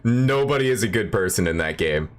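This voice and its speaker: A man's voice: lowish voice, expressive, a little fast, faint music behind. A man hosting a casual chat show, talking in a laid-back, rambling, self-deprecating way. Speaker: podcast host.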